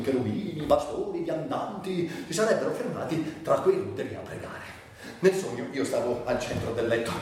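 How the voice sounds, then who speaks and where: An actor playing a man who recounts his childhood in vivid, excitable, theatrical literary narration. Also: fairly low pitch, natural voice. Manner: expressive, dramatic storytelling. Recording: echoey, distant, faint background noise.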